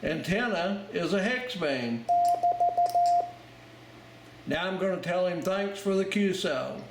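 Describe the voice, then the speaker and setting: Guy doing a slow step by step radio tutorial, kinda halting, really noisy echoey recording. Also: high voice, expressive. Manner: halting, explains piece by piece while demonstrating. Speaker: amateur radio instructor. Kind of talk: informal instructional narration over a demonstration. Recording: roomy, loud noise, radio clicks and tones.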